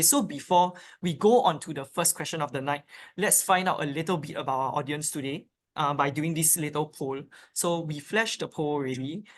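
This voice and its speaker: Upbeat webinar host. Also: medium pitch. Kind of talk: hosting a live webinar.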